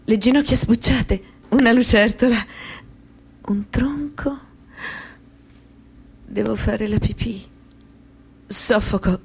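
An anguished woman in a literary radio drama. Her voice is low and husky. She speaks with intense, halting deliberation, like someone wounded. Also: a little mellow. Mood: bitter, anguished. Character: anguished woman abuse survivor recounting her trauma.

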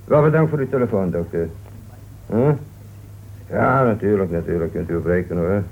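A narrow, hissy old radio recording carries a police inspector with a lowish voice. He is courteous and methodical, musing calmly as he works through a radio mystery. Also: moderate pace, vocal fry. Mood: attentive. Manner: polite, musing, punctuated with small interjections. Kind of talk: Dutch radio play acting.

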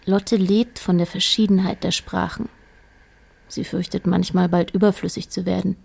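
A woman's voice: on the low side, flat delivery, moderate pace, creaky, darkish tone, breathy edge, full character voice. A woman narrating a literary radio play as a detached, observant storyteller, in short plain sentences.

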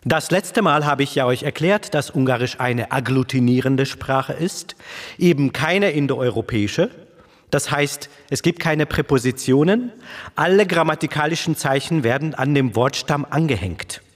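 An actor playing a passionate teacher of Hungarian in a radio play, husky, emphatic and didactic. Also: male voice, mid-range voice, moderate pace, in a large hall, lightly acted. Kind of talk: radio play acting, lecturing.

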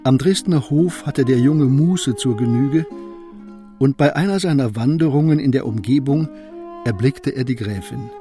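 A male narrator tells a literary story for a radio play. His voice is mellow and medium in pitch, with faint music behind the scripted narration. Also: moderate pace.

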